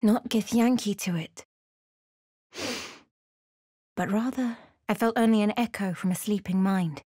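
A woman narrating a fantasy game as a solemn storyteller, in a measured, dramatic manner. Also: mid-range voice, mellow, dry recording, studio quality, lightly acted.

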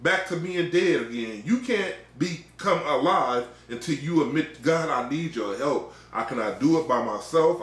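A middle-aged American man preaching an informal sermon in an exhorting way, repeating phrases for emphasis. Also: medium pitch. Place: small indoor room. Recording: echoey, roomy sound.

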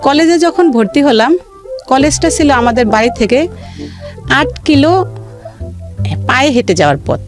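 A woman recounts her life as an interview guest, with music clearly behind her. Her voice is high and moves up and down freely as she pauses and repeats key phrases. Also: expressive. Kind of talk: personal storytelling in a radio interview.

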